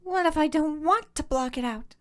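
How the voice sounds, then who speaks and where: A man's voice: very high-pitched, normal speed, a bit bright, voice pushed up. A man voicing a sulky, defiant little girl pony-changeling hybrid in a storybook reading, with a whiny, challenging manner. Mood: defiant, sullen.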